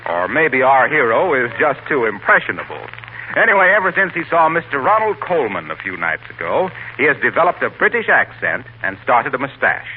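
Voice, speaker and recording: A man's voice: medium pitch, slight background noise. A man with an American accent announcing in polished, declamatory 1940s radio style. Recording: tinny 1940s radio recording.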